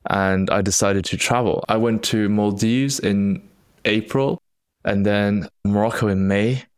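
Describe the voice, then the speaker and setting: Young male podcast host, very low voice, Chinese-British accent, earnest and reflective, dry close sound. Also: fairly even intonation, unhurried, clean tone, dry recording. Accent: Chinese-accented English with British influence. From China. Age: young adult. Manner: reflective, earnest, with frequent restarts. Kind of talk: solo podcast storytelling.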